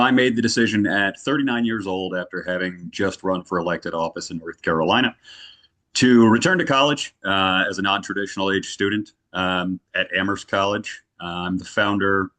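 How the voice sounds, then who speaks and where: Man, slight Southern accent, forties, very low voice, formal and long-winded, video call. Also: normal speed, fairly bright tone. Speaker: board applicant. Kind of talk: self-introduction at a meeting.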